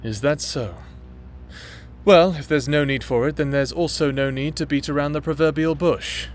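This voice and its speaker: A man's voice: a little high, normal speed, slight background noise, close to an everyday voice. A man playing an anxious but defiant private detective in a fantasy audio drama, with an emotive, earnest delivery. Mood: guarded, probing. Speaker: voice actor.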